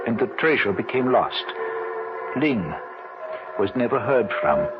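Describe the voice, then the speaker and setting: Solemn foreign treasure seeker, muffled old radio. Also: male voice, mid-range voice, sing-song, loud music behind the voice. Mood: ominous storytelling. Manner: formal, measured storytelling. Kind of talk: old-time radio drama acting.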